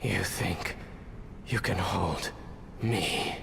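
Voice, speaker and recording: Gravelly sneering wizard villain. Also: male voice, low voice, drawn-out words, background noise, heavily acted. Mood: cold contempt. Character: menacing, contemptuous dark wizard villain. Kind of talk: film dialogue in a game cutscene. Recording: loud film music behind.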